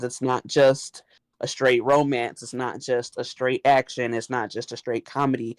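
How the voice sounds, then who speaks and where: A woman's voice: extremely low pitch, no echo. A woman talking casually as a guest on a podcast panel.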